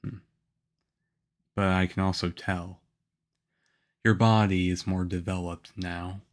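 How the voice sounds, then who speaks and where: Male actor as aloof young swordsman mentor for ASMR roleplay: stern, flat, unhurried delivery, measured and harsh. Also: low-pitched. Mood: reserved, grudging approval. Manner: harsh, measured, deadpan, with grudging praise. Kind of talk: ASMR roleplay acting addressed to the listener.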